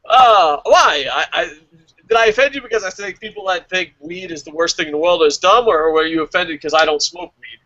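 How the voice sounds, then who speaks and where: A man's voice: sits high, brisk, lo-fi sound. A man hosting a casual wrestling review show, joking and swearing freely as he reads out listener comments. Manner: profane, joking, animated.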